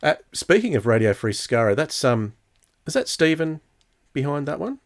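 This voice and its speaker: A man's voice: on the high side, big pitch swings, moderate pace. A man hosting a podcast, chatting casually and thinking aloud as he goes. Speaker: podcast host.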